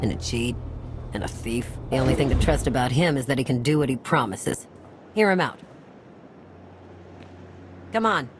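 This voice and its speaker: A woman's voice: extremely low pitch, animated, moderate pace, pauses often, music in the background. An actress playing a pragmatic, wry smuggler woman in a video game, informal and frank. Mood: dry, wry. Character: pragmatic, wry female smuggler running supply lines. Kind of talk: video game cutscene acting. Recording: clear background noise and ambience.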